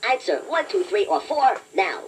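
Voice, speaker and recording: High, animated toy robot quiz host. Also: female voice. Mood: playful. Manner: encouraging, playful, deliberate, addresses listener directly. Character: chatty, playful, self-deprecating toy robot quiz host. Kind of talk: scripted children's quiz narration. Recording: noisy toy cassette playback, distant from mic.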